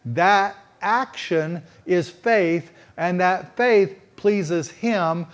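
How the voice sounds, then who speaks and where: A middle-aged man with a Texas accent, preaching in a folksy, conversational way and slowing down to stress key phrases. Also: high-pitched. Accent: Texan. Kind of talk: sermon-style storytelling.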